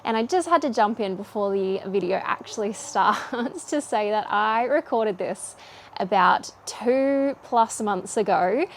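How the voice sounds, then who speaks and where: A woman's voice: medium pitch, animated, a little slow, a little hiss or hum. A woman with an Australian accent, chatting casually and bubbly about knitting as a vlogger, recorded outdoors on a camera mic. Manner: chatty and bubbly, laughs often. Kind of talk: casual chat. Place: outdoors in a garden.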